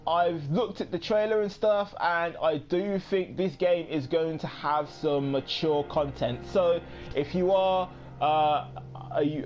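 A young man in his late teens giving casual let's play commentary, rambling with many pauses and quick apologies. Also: a little high, darkish tone. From United Kingdom. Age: young adult. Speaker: gaming YouTuber.

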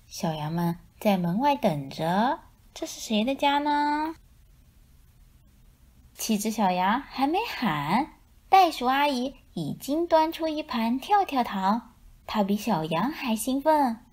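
语速舒缓、抑扬顿挫的女性故事讲述者. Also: female voice, a little high, big pitch swings, slow, a little mellow. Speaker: storyteller. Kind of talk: expressive children's picture book narration.

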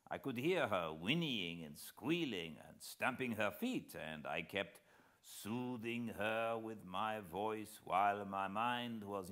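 A man voicing a wise, unhurried older Frenchman telling a hunting tale very slowly and deliberately in an audiobook reading. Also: fairly low pitch, fairly bright tone, exaggerated voice. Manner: very slow, deliberate, measured storytelling.

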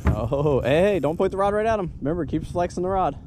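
A man coaching his young sons through catching fish, giving quick, repeated instructions from a small boat. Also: a little high. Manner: excited, rapid instructions, repeats himself. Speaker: father fishing with his kids. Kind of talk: casual coaching while filming. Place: small boat on open water outdoors. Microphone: action camera on the boat. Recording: wind and boat noise outdoors.

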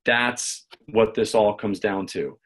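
A man's voice: fairly low pitch, takes it slowly, fairly bright tone, husky. An American man in his forties presenting an informal tutorial, conversational, often restarting and repeating his phrases. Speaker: presenter, local marketing consultant. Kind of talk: informal screen-share tutorial, explaining. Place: small home office indoors.

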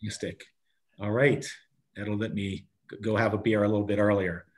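A man's voice: on the low side, little pitch movement, normal speed. A man in his sixties giving an informal committee report on a video call, often breaking off and rephrasing as he goes. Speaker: parks and recreation committee member reporting to a town council. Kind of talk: informal spoken report at an online meeting.

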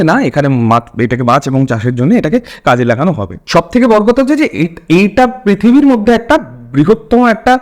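A man's voice: high-pitched, a little mellow. A man with a West Bengal Bengali accent, laying out background at length as an interviewer. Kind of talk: long, explanatory interview questioning.

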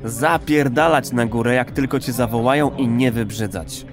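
A man's voice: medium pitch, normal speed, over music, lightly acted. An actor playing a crude, cocky, streetwise man in an audio drama, talking in chummy, vulgar slang. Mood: brash. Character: brash, crude, streetwise male regular of a pleasure institute.

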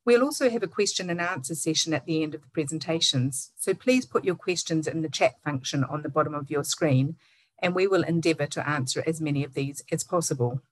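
Brief: middle-aged woman, New Zealand accent, low, dry voice, formal measured webinar host on a video call. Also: no room sound, poor audio. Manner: measured, formal, reading from a script. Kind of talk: scripted webinar introduction.

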